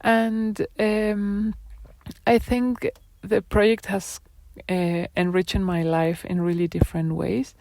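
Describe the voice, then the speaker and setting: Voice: mid-range voice, little pitch movement, slow, creaky, darkish tone, no room sound. A person presenting their own design projects in a live talk, speaking hesitantly with many fillers and restarts.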